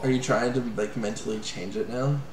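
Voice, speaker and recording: A young man from Canada, co-hosting a live game stream in casual chat, rambling and joking with quick asides. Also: mid-range voice, steady tone, echoey room, crowd noise, rough recording. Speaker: let's play co-host. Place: small room at home.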